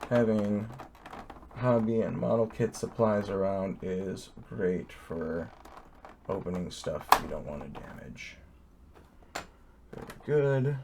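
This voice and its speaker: Casual male vlogger, slightly low voice, relaxed rambling delivery full of fillers, unboxing commentary. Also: slow, creaky, long pauses, background noise. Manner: easygoing, rambling, many ums and uhs.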